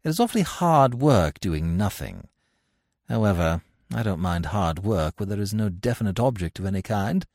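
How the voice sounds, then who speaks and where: A man playing a witty, idle young gentleman who speaks in a wry, languid manner. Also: fairly low pitch, lively intonation, moderate pace, dry recording, studio quality.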